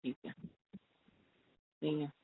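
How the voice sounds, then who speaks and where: A man's voice: slow pace, dry recording, close to an everyday voice. A young man playing a possessive but placating boyfriend in a conversational couple's phone-call drama. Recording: thin, phone-like call audio.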